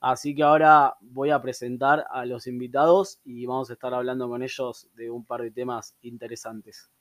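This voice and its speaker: A man with an Argentine accent, hosting a podcast and telling his own story in relaxed, casual chat full of hesitant fillers. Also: medium pitch, moderate pace. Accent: Argentine Spanish. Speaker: podcast host. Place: room with door open, people passing.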